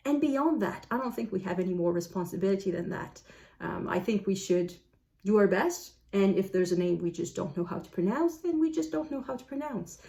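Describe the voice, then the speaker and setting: Mellow-voiced French Canadian woman musing. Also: medium pitch, expressive, gravelly. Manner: thoughtful, unhurried, musing aloud. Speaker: book vlogger. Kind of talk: casual talk to camera.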